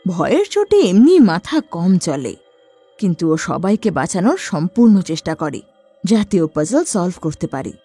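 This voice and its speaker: Lively-voiced woman narrating a horror cartoon. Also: fairly low pitch, normal speed, husky, no echo, faint music behind. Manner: expressive storytelling. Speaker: storyteller. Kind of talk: scripted story narration.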